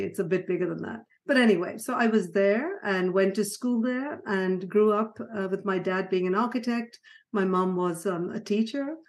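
A woman's voice: medium pitch, a little mellow. Reflective woman telling her life story. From Sri Lanka, raised in Ghana. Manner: reflective, laughs often, frequent restarts. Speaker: guest. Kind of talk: conversational storytelling interview.

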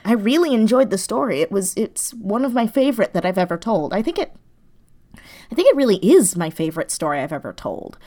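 A woman with a mid-range voice chats as an interview guest. She is animated and self-deprecating, talking in a loose conversational way and readily breaking into laughter. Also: mid-range voice, brisk. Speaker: interview guest, audio drama writer and performer.

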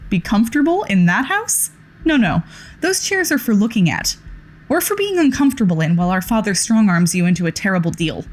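A woman's voice: mid-range voice, sing-song, a little fast. A woman playing a protective, wry sister from a wealthy family in a fiction podcast, with an emphatic way of talking.

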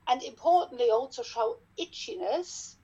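A woman's voice: high voice, animated, normal speed, a little mellow, slightly rough, highs cut off. German-accented dermatologist, middle-aged woman, careful step-by-step explainer with a mid-range voice. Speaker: dermatologist, guest expert. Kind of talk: explanatory podcast interview.

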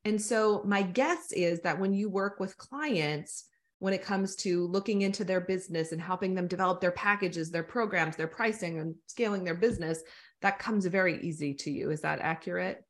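A woman's voice: lowish voice, normal speed. A woman with an American accent hosting a podcast interview, chatty and affirming. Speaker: podcast host.

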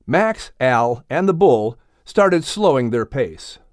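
Male storyteller for children's audiobook: mid-range voice, very animated delivery, dry recording. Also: mid-range voice, slow pace, dry recording. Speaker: audiobook narrator. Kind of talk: expressive scripted children's story narration.

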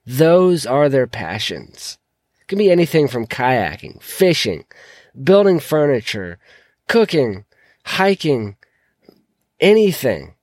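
A man hosting a solo podcast, his voice croaky from a sore throat, talking in an earnest, encouraging way. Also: medium pitch, very animated, slow, pauses often, darkish tone, no room sound. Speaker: podcast host.

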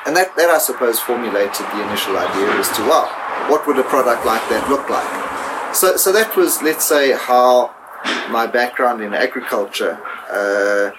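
Halting middle-aged man, noisy recording. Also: mid-range voice, expressive. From born in South Africa, raised in Zimbabwe. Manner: hesitant, many fillers and repeated words. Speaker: guest, food entrepreneur. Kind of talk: interview answers. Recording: noisy background.

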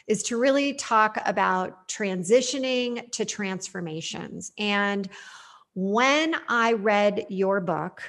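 A woman's voice: mid-range voice, takes it slowly, a bit bright. A woman hosting an interview show, effusive and enthusiastic as she puts her questions to the guest. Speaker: show host.

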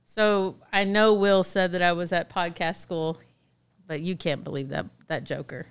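A woman's voice: lowish voice, steady tone, normal speed, clear, resonant tone. A middle-aged woman hosting a podcast in a chatty, casual way, laughing easily. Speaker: podcast host.

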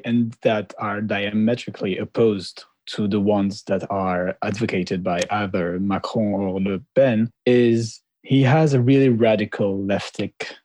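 A man's voice: fairly low pitch, mellow, no room sound. A man in his thirties with a French accent, Lebanese-born, answering interview questions casually and sometimes searching for English words. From Lebanon, raised in France. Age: 30s. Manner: searching for words, laughs lightly. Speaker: interview guest.

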